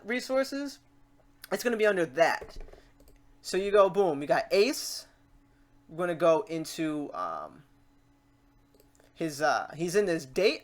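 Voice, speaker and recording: A woman hosting a casual software tutorial, talking through each step aloud in an informal, playful way. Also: fairly low pitch. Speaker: tutorial host.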